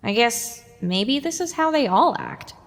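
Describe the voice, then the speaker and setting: Woman acting young pony filly, high-pitched, wry, exasperated, audio drama, long hall reverb. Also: very animated, a little slow. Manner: exasperated, wry muttering to herself. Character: very small young green filly, grumbling and self-conscious.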